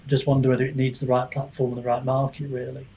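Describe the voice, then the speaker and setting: A man's voice: medium pitch, completely flat delivery, fast talker, dark tone, slight background noise. A man speaking as a podcast guest in casual discussion, reflective and hesitant as he thinks aloud. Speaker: podcast guest, author and book reviewer.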